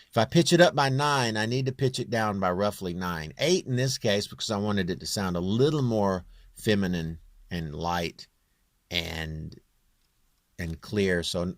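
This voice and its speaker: A man with a low, near-bass voice talking through a home music production tutorial in a halting way, thinking aloud as he works. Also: no echo, studio quality. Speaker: home musician demonstrating his vocal production. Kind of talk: informal screen tutorial.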